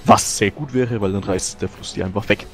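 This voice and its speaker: A man's voice: lowish voice, steady tone, brisk, faint music behind. A man, a player in a tabletop strategy game, talking eagerly and often repeating himself. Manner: eager, repeats words, restarts. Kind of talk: strategy discussion.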